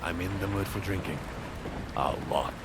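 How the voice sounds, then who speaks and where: An actor playing a battle-worn samurai in a video game, blunt and world-weary, with Japanese words dropped in. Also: male voice, deep voice, moderate pace, heavy background noise. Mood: weary, gruff. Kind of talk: video game cutscene voice acting.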